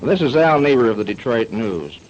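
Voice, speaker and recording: A man's voice: mid-range voice, very animated, moderate pace, a little mellow, dull audio. A man with an American accent, a newspaper correspondent, reading a dispatch in a formal, emphatic way. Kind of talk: reading a news dispatch on radio. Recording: faintly noisy 1940s radio recording.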